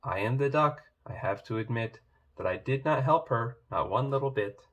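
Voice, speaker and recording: A man's voice: mid-range voice, lively intonation, normal speed, a bit bright, lightly acted. A man voicing a lazy, sheepish farmyard animal confessing in drawled storybook rhyme. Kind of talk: children's storybook read-aloud.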